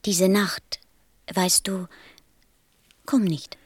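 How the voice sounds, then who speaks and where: Radio play role: gentle, wistful small boy, hushed breathy delivery, very dry sound. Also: medium pitch, steady tone, very slow, no echo. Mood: anxious, pleading. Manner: tender, solemn, simply spoken. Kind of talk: German radio play acting.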